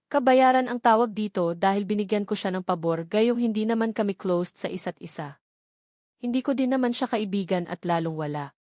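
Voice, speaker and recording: A woman narrates a novel in the first person, working from a script. Her voice sits at a middle pitch, and the sound is dry with little room in it. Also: a little mellow, dry recording. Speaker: audiobook narrator.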